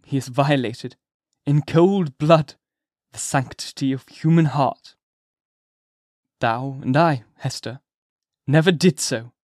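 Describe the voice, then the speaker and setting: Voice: mid-range voice, slow, a little mellow, dry recording, studio quality, natural voice. A reader voicing a guilt-stricken male minister who speaks in grieving, old-fashioned phrases. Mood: sorrowful. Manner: grieving, archaic speech. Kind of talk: audiobook character voice.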